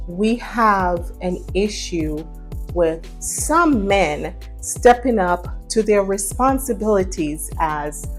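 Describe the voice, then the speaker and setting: A woman's voice: mid-range voice, darkish tone. A Jamaican woman vlogging from her home, talking earnestly to camera in a preachy, emphatic way and repeating phrases for stress.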